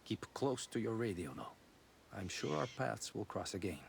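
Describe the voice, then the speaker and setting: An actor playing a calm, reassuring resistance contact in a video game, speaking without hurry. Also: male voice, lowish voice, normal speed, vocal fry, a little hiss or hum. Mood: steady, wry. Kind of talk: cinematic game acting.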